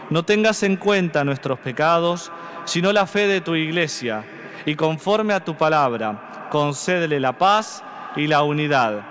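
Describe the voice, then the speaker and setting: A man in his thirties with an Argentine accent, a priest slowly reciting mass prayers at the altar. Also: on the high side, a bit bright. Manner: slow solemn recitation. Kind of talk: liturgical prayer. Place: large church. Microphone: stand mic at altar. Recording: long church reverb.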